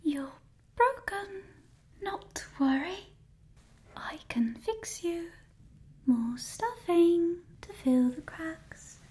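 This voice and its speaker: A woman playing a sweet, eerie doll mender in an ASMR roleplay, cooing slowly in a singsong way. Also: very high-pitched, expressive, warm tone, a little hiss or hum, pitched above the performer's own voice, full character voice. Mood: eerily soothing.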